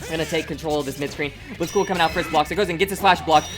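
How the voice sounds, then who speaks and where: Woman commentator, breakneck casual play-by-play, filler-heavy, game music underneath. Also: low voice. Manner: rapid excitable play-by-play, many "like" and "uh" fillers. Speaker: tournament commentator. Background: game music playing.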